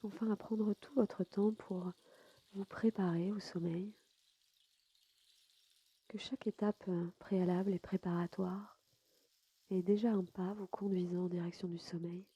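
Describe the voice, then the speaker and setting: Very soft, mellow, breathy woman guiding relaxation. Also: mid-range voice, steady tone, highs cut off. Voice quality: soft, breathy, sometimes near a whisper. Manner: soothing, unhurried, softly spoken with pauses. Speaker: yoga nidra guide and podcast host. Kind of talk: guided sleep meditation narration.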